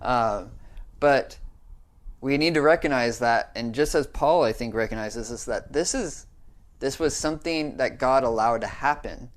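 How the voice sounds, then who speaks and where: A man's voice: mid-range voice, unhurried. A young American man giving a short devotional talk in an earnest, conversational way, often checking in with "right?". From United States.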